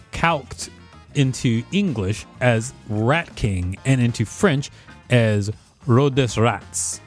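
A man hosting a podcast, reading an encyclopedia page aloud and commenting with playful, theatrical flair. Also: medium pitch. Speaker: podcast host.

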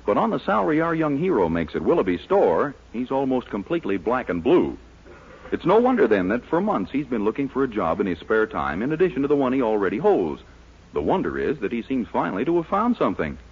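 A man's voice: medium pitch, very animated, a little fast, husky. A man announcing and narrating a 1940s radio show in a showy, polished, emphatic scripted style. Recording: dull, lo-fi 1940s radio recording.

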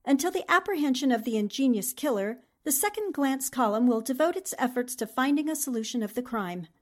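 A woman acts a plucky female newspaper columnist in an old-style radio drama. Her voice is high, and she talks in a brisk, authoritative way with a wry edge. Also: animated. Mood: businesslike. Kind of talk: 1940s radio drama acting.

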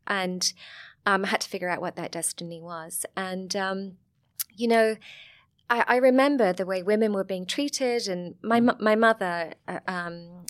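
A woman's voice: medium pitch, measured pace. Chatty middle-aged woman with Sussex accent. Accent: Sussex English. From England. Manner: chatty, laughs often, frank and lively. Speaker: guest. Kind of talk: casual interview chat.

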